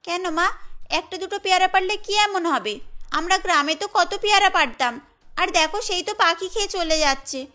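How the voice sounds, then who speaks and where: A woman's voice: very high voice, moderate pace, pushed and bright, pitched above the performer's own voice. A woman voicing a hungry young village daughter in a cartoon story, earnest and pleading in a girlish manner. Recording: music bed under the voice.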